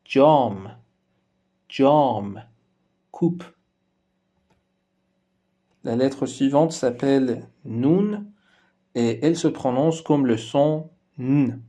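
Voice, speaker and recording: Slow, mellow-voiced male language teacher. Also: mid-range voice. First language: Persian. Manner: slow and careful, repeating each word twice before translating it. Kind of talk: scripted language lesson.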